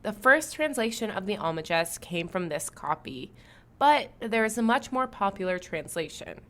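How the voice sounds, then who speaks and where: A woman hosting a history podcast, reading scripted narration smoothly. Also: mid-range voice, big pitch swings, moderate pace, fairly bright tone. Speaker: podcast host.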